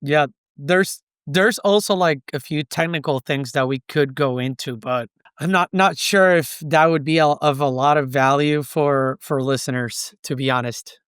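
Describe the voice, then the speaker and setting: A man's voice: fairly high pitch, moderate pace, fairly bright tone, clear, resonant tone, no echo, clean studio sound. A native Spanish-speaking man with a Puerto Rican accent, giving explanatory advice as a guest in a conversational interview. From Puerto Rico. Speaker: podcast guest, consultant.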